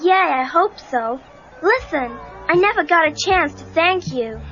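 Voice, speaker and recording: Very high-voiced friendly teenage girl. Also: expressive, a little slow, a bit bright, exaggerated voice. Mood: sympathetic, friendly. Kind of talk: children's audio drama dialogue. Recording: dull lo-fi cassette tape. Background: prominent music.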